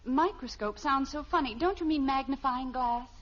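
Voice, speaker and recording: A woman playing a patient, gently needling middle-aged American housewife and mother in a 1950s radio sitcom, sweetly literal and drily teasing. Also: sits high, moderate pace. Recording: muffled, hissy old radio recording.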